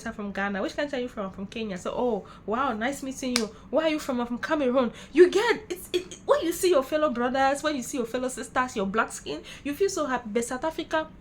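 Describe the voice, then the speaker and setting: A woman with a fairly high voice hosts an online video. She gives informal opinion commentary, repeating phrases with an exasperated edge. There is faint noise in the recording. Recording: noisy, with background ambience.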